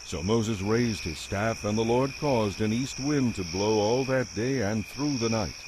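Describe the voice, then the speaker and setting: A man's voice: on the low side, measured pace, background noise, close to an everyday voice. A man narrating a dramatized Bible story as a solemn storyteller.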